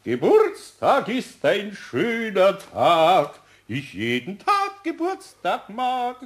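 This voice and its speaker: Casting: older Bavarian craftsman, good-natured grumbler, folksy, fairly high animated voice, children's audio drama. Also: slow pace, slight background noise, full character voice. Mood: fond, resigned. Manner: folksy, colloquial, exclaiming. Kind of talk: children's radio play acting.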